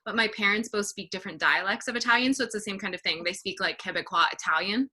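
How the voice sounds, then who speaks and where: A young woman from Canada chatting casually with friends on a podcast, full of fillers and quick laughs. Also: mid-range voice, bright, forceful tone, slightly rough. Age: young adult. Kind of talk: casual group chat.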